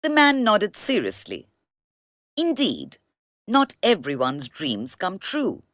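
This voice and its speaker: A woman narrating a children's storybook read-aloud in a deliberate, expressive storytelling manner. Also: medium pitch, no echo, clean studio sound.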